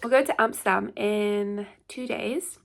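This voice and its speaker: A woman's voice: mid-range voice, steady tone, a little slow, gravelly. A young woman talks in a loose, scattered way, hopping from thought to thought. Her voice sounds stuffy and congested from a lingering cold. She sits at home in a shared house and chats casually to the camera. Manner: chatty, rambling, scattered. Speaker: beauty vlogger. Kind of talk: casual get-ready-with-me chat.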